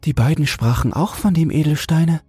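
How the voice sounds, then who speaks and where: A man's voice: a little high, moderate pace, mellow, slightly rough, no room sound, soft background music, pitched above the performer's own voice. A man voicing a naive, wistful little female toad in an earnest storybook manner. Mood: relieved.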